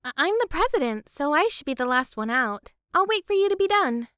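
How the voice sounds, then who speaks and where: A woman voicing a sweet, composed teenage club president in an anime visual novel fan dub, polite and gently authoritative. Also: high-pitched, big pitch swings, brisk, no echo, studio quality. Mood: reluctant.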